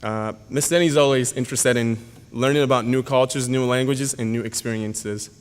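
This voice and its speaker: A young man, a graduating senior whose first language is Yoruba, reading a formal, earnest speech at a podium with halting pauses and fillers. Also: medium pitch, fairly even intonation, smooth. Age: young adult. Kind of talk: prepared speech read at a podium. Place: school auditorium. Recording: hall reverb.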